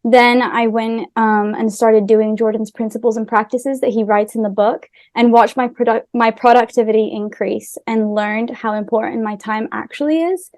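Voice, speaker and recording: A woman's voice: fairly high pitch, fairly even intonation, normal speed, hardly any pauses, a little mellow, dry recording. A woman with an American accent, raised in America after an early childhood in Wales, talking earnestly as a podcast guest. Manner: earnest, with small restarts. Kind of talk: casual conversation. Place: Israel.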